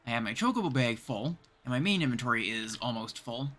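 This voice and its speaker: Bright-voiced Canadian man streaming a game. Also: medium pitch, lively intonation, quick pace, faint music behind. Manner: thinking aloud, chatting to viewers. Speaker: game livestream host. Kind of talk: casual livestream chat while playing.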